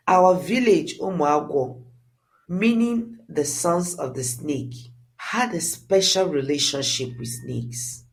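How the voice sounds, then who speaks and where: A storyteller with a mid-pitched voice delivers a dramatic scripted narrative. The pace is slow and deliberate, and the intonation swings widely and expressively.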